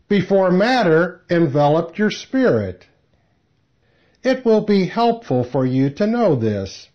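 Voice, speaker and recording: A man reading a scripted spiritual lecture in a measured, deliberate, solemn manner. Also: fairly high pitch. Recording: slightly dull lo-fi recording.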